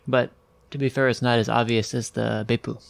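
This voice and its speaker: A man's voice: medium pitch, level tone, brisk, gravelly. A man co-hosting a tea tasting, chatting casually and joking playfully. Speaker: co-host and video editor. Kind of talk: casual chat.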